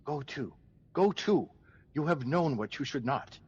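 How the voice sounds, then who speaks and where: A man playing a grave, pious, watchful doctor in a Shakespearean radio drama, speaking with measured, formal concern. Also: a little high, animated, a little mellow, dull audio, slight background noise. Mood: troubled.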